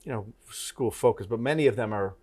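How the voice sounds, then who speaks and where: Male talk host, middle-aged, lowish voice, halting delivery full of restarts. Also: mellow. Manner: halting, many restarts and fillers. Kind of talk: casual interview conversation.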